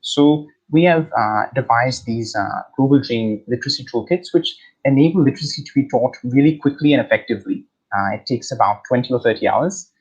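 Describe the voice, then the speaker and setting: A man's voice: mid-range voice, a little fast, darkish tone, breathy edge, dull audio. An Australian man hosting an online talk, speaking with many fillers and restarts over a video call. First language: English. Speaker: host.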